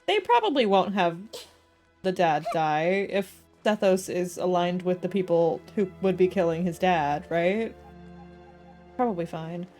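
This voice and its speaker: A woman's voice: fairly low pitch, lively intonation, unhurried, a little mellow. A woman chatting casually and reacting aloud as she streams a game. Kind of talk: casual live gameplay commentary. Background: game music.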